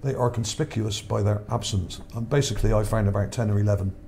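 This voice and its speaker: Male host, low mellow voice going hoarse, rambling delivery, faint hiss behind. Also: fast. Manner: rambling, repeats words, checks in with "okay?". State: voice starting to go. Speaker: channel host. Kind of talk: casual narration over images, reading his article.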